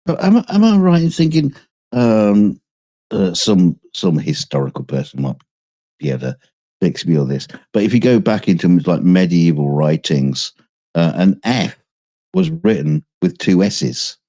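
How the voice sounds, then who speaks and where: A man's voice: deep voice, very animated, unhurried, gravelly, mellow. A man co-hosting a podcast, chatting casually with rambling restarts. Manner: rambling, stammering restarts, laughs at own jokes. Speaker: podcast co-host. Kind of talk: casual chat.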